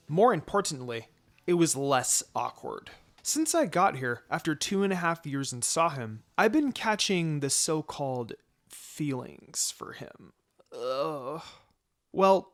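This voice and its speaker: A man reading a first-person story aloud in an expressive, playful way. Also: on the high side. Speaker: fan fiction reader.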